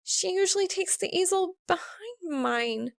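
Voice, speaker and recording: Woman voicing a high, flustered college girl. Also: animated, gravelly, no room sound, clean studio sound, heavily acted. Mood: nervous, stammering. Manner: halting, stammering, blurting. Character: flustered, earnest, defensive young college woman.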